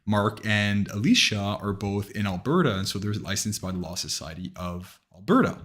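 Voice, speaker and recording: Immigration lawyer in his 30s, lowish male voice, chatty live Q&A delivery, explains step by step and often restarts. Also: expressive, moderate pace, a bit bright. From Canada. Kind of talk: live Q&A answering viewer questions.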